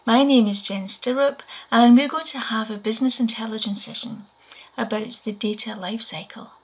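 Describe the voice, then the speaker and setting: A woman in her forties with a Scottish accent and a quiet voice, giving a measured conference talk from home over a webcam. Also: fairly high pitch, steady tone. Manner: measured, explanatory presenting. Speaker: conference speaker. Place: home room, indoors. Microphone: webcam video call. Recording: dull lo-fi webcam audio.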